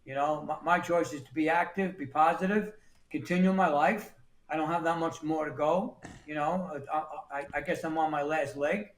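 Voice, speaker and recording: A man's voice: on the high side, fairly even intonation, normal speed. Echoey-sounding man in his sixties from New York. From New York City. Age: 60s. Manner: plain-spoken, repeats words and restarts often. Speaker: guest. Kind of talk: casual interview talk.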